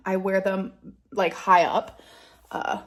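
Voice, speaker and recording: A woman's voice: mid-range voice, flat delivery, takes it slowly. Rambling woman in her thirties. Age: 30s. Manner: rambling, filler-heavy. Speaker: vlogger. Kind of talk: casual personal vlog talk.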